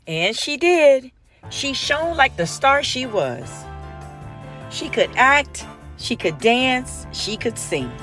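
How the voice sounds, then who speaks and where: A woman reads a picture book aloud for storytime with lively, swooping intonation. She takes her time over each phrase, and music plays behind her. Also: on the high side. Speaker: storytime reader. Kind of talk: expressive children's picture book read-aloud.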